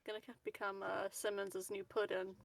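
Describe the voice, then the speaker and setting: A woman chatting casually as a player in a tabletop game, in a halting, giggly way. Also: mid-range voice, level tone, creaky, heavy background noise. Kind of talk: casual chat.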